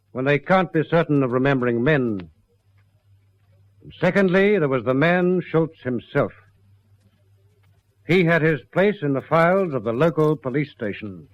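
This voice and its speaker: A man narrating police case files for a radio drama in a formal, sober, measured scripted style. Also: on the high side, expressive, no echo, close to an everyday voice. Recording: dull lo-fi radio recording.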